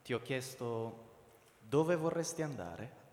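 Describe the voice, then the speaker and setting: Slow, icy, menacing brother. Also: male voice, mid-range voice, animated, a little mellow. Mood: icy menace. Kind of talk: theatrical radio drama dialogue. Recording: reverberant hall.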